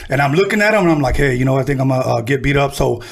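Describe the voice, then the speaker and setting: A husky-voiced man, interviewing and sharing anecdotes in casual chat. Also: mid-range voice, expressive, racing. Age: 50s. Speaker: radio interviewer, music producer.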